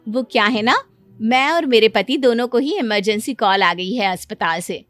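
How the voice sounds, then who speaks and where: A woman's voice: fairly high pitch, expressive, normal speed, fairly bright tone, no echo. A woman playing a courteous, emphatic working mother, acted as dialogue in a cartoon story. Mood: polite, earnest. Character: polite, admiring working mother, a family acquaintance. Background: music.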